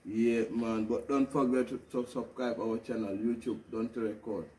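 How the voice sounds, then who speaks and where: A man's voice: mid-range voice, fairly even intonation, normal speed, mellow. A man hosting a casual promotional vlog, talking in slangy phrases and repeated catchphrases. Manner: repetitive, slangy Patois phrasing. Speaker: music promoter and vlog host. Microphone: phone camera a few metres away. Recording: noisy, distant phone video audio.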